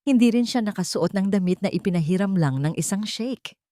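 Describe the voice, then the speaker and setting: A woman's voice: medium pitch, animated, fairly quick, dark tone, no echo, studio quality. A woman reading a scripted dramatic story as its narrator.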